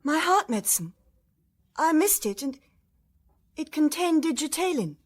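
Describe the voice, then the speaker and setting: An actress playing an anxious, genteel English wife, restrained and a little hesitant, in a radio drama. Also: female voice, sits high, sing-song, a little slow.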